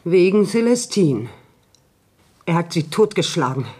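Husky, subdued shaken country woman. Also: fairly low pitch, sing-song, a little slow, warm tone, heavily acted. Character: shaken, subdued country woman reporting her sister's death. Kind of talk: German radio play acting.

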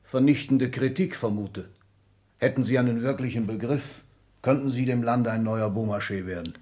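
A man's voice: mid-range voice, normal speed, a little mellow, natural voice. A man acting an imperious older aristocrat in a 1960s German radio play, commanding and condescending in abrupt sentences. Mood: condescending, probing. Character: imperious older aristocratic Excellency, restless military-minded patriarch.

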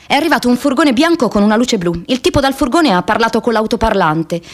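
A woman's voice: on the high side, lively intonation, quick pace, natural voice. An actress playing an idealistic young American woman activist in a radio theatre monologue, telling her diary expressively in the first person. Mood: grave recounting. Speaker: stage actress.

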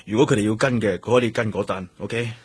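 An actor with a Hong Kong Cantonese accent playing a stubborn, weary young police detective in a radio drama, defiant and insistent. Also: male voice, lowish voice, steady tone, quick pace, husky, no room sound. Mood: restrained, firm. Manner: defiant, insistent, repeating himself for emphasis.

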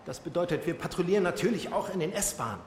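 A brash male vigilante with a fairly high voice, bossing others around. It is a radio drama scene in an echoing hall. Clear noise runs behind him. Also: lively intonation, fast talker. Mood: bossy, mocking.

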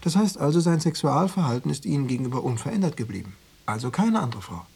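An actor playing an insinuating Stasi officer in a radio drama, probing and coaxing with cool formality and veiled menace. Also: male voice, fairly high pitch, very animated, fast talker, gravelly, a little mellow, slightly rough, full character voice. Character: insinuating male Stasi officer pressuring an informant. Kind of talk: German radio drama dialogue.

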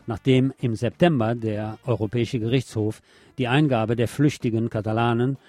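A man's voice: mid-range voice, fairly even intonation, normal speed, darkish tone, dry recording. A man presenting scripted radio news with a wry, colloquial touch. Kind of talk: scripted news magazine narration.

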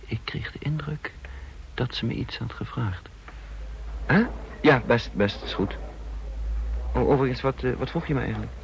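An actor playing a guarded, earnest intelligence chief in a radio drama, musing and hesitant, on a dull, noisy old recording. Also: male voice, mid-range voice, normal speed, vocal fry, long pauses, natural voice. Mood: distracted. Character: guarded male intelligence chief, flippant facade over gravity. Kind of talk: radio drama acting with first-person narration.

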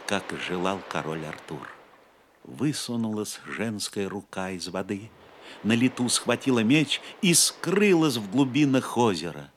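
A man narrating an audio play as a dramatic storyteller, solemn, theatrical and measured. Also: lowish voice, a little hiss or hum.

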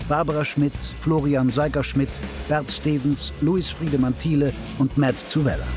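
A male announcer reads scripted radio drama credits. His voice is mid-pitched, and music plays clearly behind him. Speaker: closing announcer.

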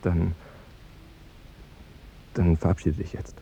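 An actor playing a cheerful, guileless young fiancé who talks on with needling politeness in a 1950s radio play. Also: male voice, deep voice, little pitch movement, slow, gravelly, dark tone, slight background noise, exaggerated voice. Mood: insistent. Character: Jerome, cheerful, naively chatty young fiancé. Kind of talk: 1950s German radio drama acting. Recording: dull 1950s radio recording, music behind.

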